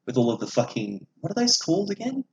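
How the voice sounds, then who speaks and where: A man's voice: fairly high pitch, huge pitch swings, fast, vocal fry, muffled recording. A man, an opinionated podcast host who swears freely while casually discussing films. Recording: echoey room, audible background noise.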